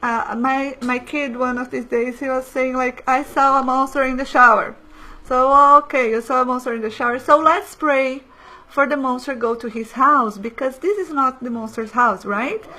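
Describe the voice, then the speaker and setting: A woman's voice: sits high, moderate pace, poor audio. Earnest woman giving a spiritual lecture. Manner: earnest, gentle, slightly non-native phrasing.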